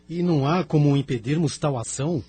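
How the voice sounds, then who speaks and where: A man's voice: fairly high pitch, a little fast, mellow, breathy edge. A man with a Brazilian accent playing a courteous, dutiful spirit rescuer in an audio drama, formal in manner. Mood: concerned. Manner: polite, formal. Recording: music bed behind the voice.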